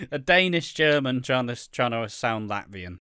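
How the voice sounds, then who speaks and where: A man's voice: mid-range voice, a bit bright, clear, resonant tone, no echo, studio quality. A man co-hosting a casual podcast about a TV soap, swearing freely and acting out characters' lines. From United Kingdom. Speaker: podcast co-host.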